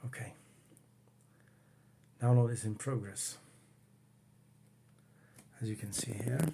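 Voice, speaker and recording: A man from the Czech Republic, speaking English with a Czech accent, calmly walking through a home computer demo in his workroom. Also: lowish voice, vocal fry, noisy background. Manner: unhurried, plain, talks while working the computer. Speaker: retro computing hobbyist. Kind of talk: casual home demo walkthrough.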